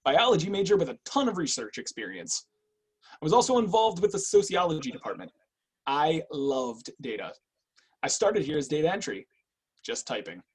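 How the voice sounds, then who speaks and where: Guarded, husky higher-pitched man, acted in a reading. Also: big pitch swings, moderate pace. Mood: businesslike. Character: guarded, clinical intake agent, weary but obedient man. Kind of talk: online screenplay table read.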